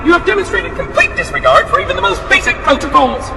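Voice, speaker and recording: Piping, fussy little robot caretaker. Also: male voice, extremely high pitch, huge pitch swings, fast talker, creaky, full character voice. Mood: indignant. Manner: precise, officious, chirpy. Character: prim, fussy floating robot caretaker, officious. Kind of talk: video game cutscene acting. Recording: echoey hall, distant, noisy background.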